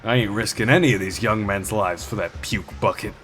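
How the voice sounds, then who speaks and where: A man's voice: lowish voice, fairly quick, fairly bright tone, lightly acted. A man playing a brash, hot-headed police detective in a motion comic, coarse and blustering in his talk. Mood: cocky, scornful. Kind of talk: dramatic motion comic acting. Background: music.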